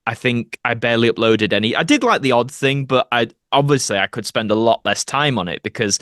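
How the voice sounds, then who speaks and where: Young adult male podcast guest, chatty and self-deprecating, mid-pitched, very little room sound. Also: animated, fairly quick, fairly bright tone, no room sound, studio quality. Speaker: podcast guest, actor and video creator. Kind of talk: casual interview chat.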